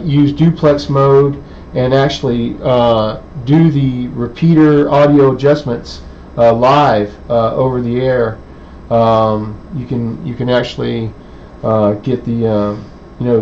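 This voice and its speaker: A man presents a hands-on electronics how-to without a script. His pitch is middling and his delivery hesitant, as if working out each sentence while he goes. The sound is dull and lo-fi, with clear background noise. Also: darkish tone. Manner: halting, hesitant, thinking aloud. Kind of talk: unscripted technical demonstration. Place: indoor electronics workbench.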